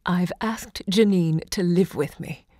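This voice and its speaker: An actress playing an elderly, unrepentant literary hostess in an audio drama, measured, poetic and intimate. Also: female voice, on the low side, warm tone, no room sound, clean studio sound. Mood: delighted, defiant. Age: older.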